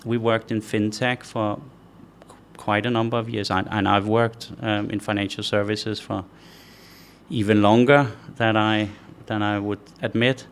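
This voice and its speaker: A man from Denmark, a company founder answering interview questions in a measured way, thinking aloud with frequent restarts. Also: fairly low pitch, flat delivery, a little hiss or hum.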